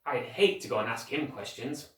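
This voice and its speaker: A man's voice: mid-range voice, expressive, normal speed, close to an everyday voice. A man voicing a curious, eager young schoolgirl in a storybook, earnest and questioning. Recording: roomy echo, distant microphone.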